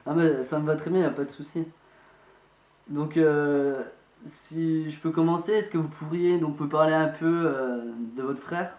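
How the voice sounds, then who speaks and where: A man's voice: fairly high pitch, fairly even intonation, darkish tone, lightly acted. Man acting as amateur investigator, hesitant, courteous, insistent, roomy echo. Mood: polite, insistent. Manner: polite, halting, hesitant. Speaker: amateur investigator presenting a video. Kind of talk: hesitant spoken phone inquiry. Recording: echoey room.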